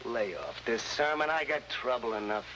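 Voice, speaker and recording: A man's voice: mid-range voice, animated, moderate pace, natural voice. An American actor playing a weary, defiant jailed man, curt and defensive in a 1950s crime drama. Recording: noisy, hissy old 1950s drama soundtrack.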